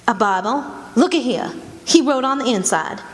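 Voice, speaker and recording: A woman's voice: fairly high pitch, expressive, moderate pace, natural voice. A woman playing a hard, bereaved older mother with blunt, folksy speech in a radio drama. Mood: bitter, accusing. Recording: echoey hall stage recording.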